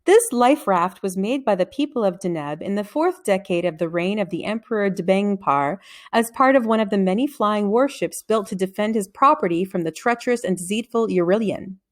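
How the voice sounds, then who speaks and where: A woman's voice: medium pitch, animated, normal speed, close to an everyday voice. A woman voicing a nervous, suspicious older male Latin teacher in a children's storybook, explaining things precisely. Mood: scholarly interest.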